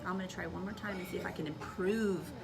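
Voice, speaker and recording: A woman's voice: lowish voice, fairly even intonation, quick pace. A woman teacher talking to children in a classroom, giving an instructive demonstration and thinking aloud step by step. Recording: noisy classroom, distant microphone. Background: room noise, children's voices.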